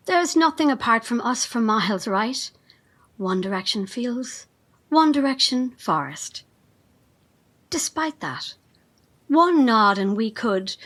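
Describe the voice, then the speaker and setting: An actress playing a fanatical cult assistant in an audio drama, measured, calmly menacing and reverent, with a breathy, husky voice. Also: female voice, on the high side. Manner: measured, veiled threats, reverent.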